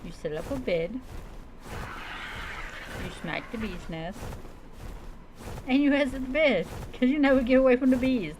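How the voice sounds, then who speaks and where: A woman's voice: high-pitched, lively intonation, a little slow, long pauses. A woman giving chatty, casual gameplay commentary with light roleplay, thinking aloud to her viewers. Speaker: game streamer. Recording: clearly noisy, background ambience.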